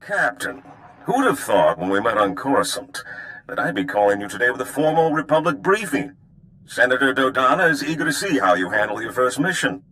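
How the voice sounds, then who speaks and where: Easygoing underworld operative, game character, animated pitch, forward bright tone, sly. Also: male voice, brisk, rough recording. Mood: briefing, wry. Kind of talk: video game acting.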